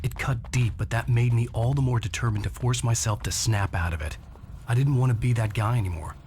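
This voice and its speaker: Weary, determined male writer, mid-pitched played by an actor. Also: a little fast, a little mellow, a little hiss or hum, lightly acted. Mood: determined, reflective. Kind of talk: video game narration and dialogue. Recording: music behind the voice.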